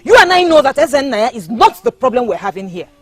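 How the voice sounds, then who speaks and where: A woman's voice: on the high side, very animated, normal speed, a little mellow, soft background music. An actress playing a principled young woman who protests and firmly refuses. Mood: protesting. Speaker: prince's fiancée. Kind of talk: Nollywood film acting.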